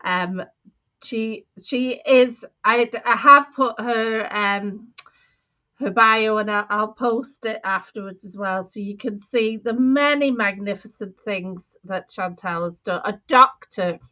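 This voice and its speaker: A woman host in her forties speaks slowly and deliberately with a bright, forceful tone. She welcomes her guest effusively and halts on fillers now and then, heard over dull, lo-fi video-call audio. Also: mid-range voice. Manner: warm and effusive welcoming, with hesitations. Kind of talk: interview host introduction.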